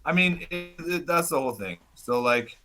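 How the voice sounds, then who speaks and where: A man guest on a podcast, talking loud and casual, swearing often and rambling as he goes. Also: a little high, lively intonation, normal speed, slight background noise. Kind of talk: casual chat.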